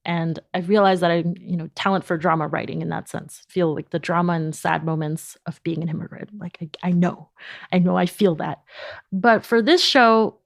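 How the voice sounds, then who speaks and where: A woman's voice: lowish voice, moderate pace, vocal fry, no room sound, studio quality. A woman speaking casually as an interview guest, explaining things informally and sprinkling in "like". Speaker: podcast company founder, guest.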